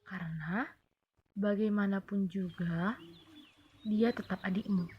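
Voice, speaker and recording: A woman voicing a kind aunt in a folktale, giving gently firm advice in a hushed manner. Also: medium pitch, steady tone, slow, dull audio, a little hiss or hum, close to an everyday voice. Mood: gentle advice. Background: music behind the voice.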